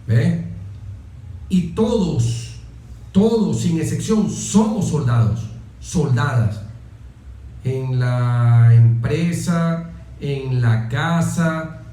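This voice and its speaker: A man's voice: on the high side, warm tone, roomy sound. A middle-aged Venezuelan man delivering a patriotic political monologue on a talk show, emphatic and oratorical, using repetition and rhetorical questions. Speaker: radio host and former soldier. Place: radio studio.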